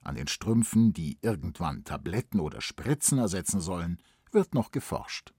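A man's voice: deep voice, very animated, normal speed, mellow, husky, no echo, studio quality. A man reading scripted explanatory quiz narration for children. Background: music.

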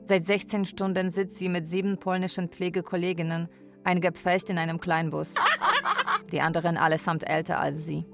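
Low-voiced young Polish caregiver. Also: female voice, flat delivery, normal speed, gravelly, music in the background. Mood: narrating. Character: young Polish female caregiver, anxious, narrating her journey. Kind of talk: bilingual radio drama narration.

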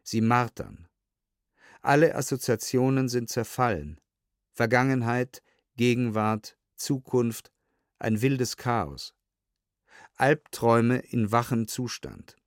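A man's voice: mid-range voice, steady tone, slow pace, no echo. Dry, sober male documentary narrator. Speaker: narrator reading historical documents. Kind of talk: scripted documentary audiobook narration.

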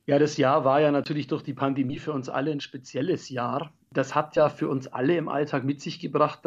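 A man's voice: mid-range voice, slightly rough. A man on a jury giving a considered, articulate interview answer in long explanatory sentences, in muffled sound. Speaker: jury member.

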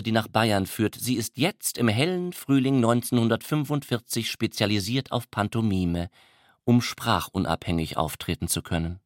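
A man's voice: mid-range voice, dry recording. A man reads a scripted account as narrator in a mid-range voice. The sound is dry and close, and the style is that of documentary narration.

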